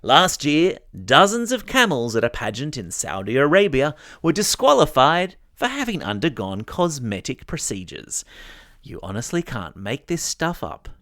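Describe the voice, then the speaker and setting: A man's voice: mid-range voice, sing-song, moderate pace, fairly bright tone, slight background noise. An Australian man hosting a news podcast for children, reading scripted stories in a chatty, playful way and speaking straight to young listeners. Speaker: podcast host.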